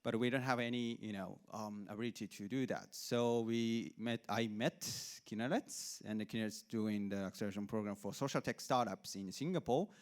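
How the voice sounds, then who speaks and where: A man speaks at a conference, hesitating and restarting often, with plenty of "um" and "you know". His voice is low-pitched and clear. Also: moderate pace, few pauses. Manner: hesitant, many fillers and restarts. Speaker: foundation staff member. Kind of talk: conference remarks. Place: large conference hall.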